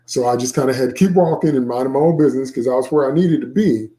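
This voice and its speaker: A man's voice: mid-range voice, quick pace, warm tone, poor audio. A middle-aged man, an author, talking informally to viewers about his book and repeating phrases for emphasis.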